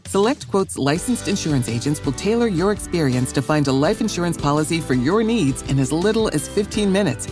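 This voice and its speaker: A woman announcer giving a scripted advertisement read. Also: low-pitched. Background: music.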